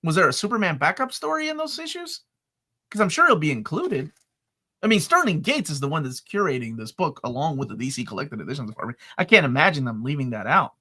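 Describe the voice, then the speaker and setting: Man, light midwestern accent, host, high animated voice, bright, excitable, chatty. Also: quick pace. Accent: light Midwestern American. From Peru and the United States. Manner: excitable, laughing, animated storyteller. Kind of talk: casual live-stream chat.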